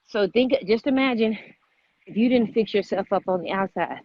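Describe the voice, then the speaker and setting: A woman's voice: mid-range voice, lively intonation, moderate pace, muffled recording, slight background noise. A woman hosting a casual motivational fitness vlog outdoors, repeating her points as she urges listeners on. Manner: repetitive, exhorting. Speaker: vlog host.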